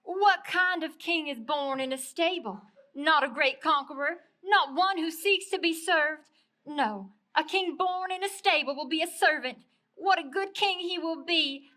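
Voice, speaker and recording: A woman's voice: sits high, a little slow, pushed and bright. A woman voicing an earnest, theatrical female donkey, proud yet humble, in a puppet show. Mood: humbly content.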